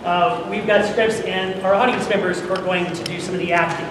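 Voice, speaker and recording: A man's voice: sits high, fairly even intonation, moderate pace. A man presenting to an audience, giving informal live instructions for a recording session. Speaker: presenter directing an audio drama recording. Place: large convention hall. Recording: echoey noisy hall, distant sound. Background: room noise and ambience.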